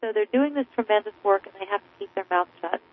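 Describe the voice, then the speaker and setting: A woman guest on a radio interview, making her case with emphatic, crusading conviction. Her voice comes through a narrow, tinny, phone-like line that strips away the lows and highs. Her pitch sits at a middling level for a woman. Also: animated, fast talker, a little hiss or hum. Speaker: author and guest.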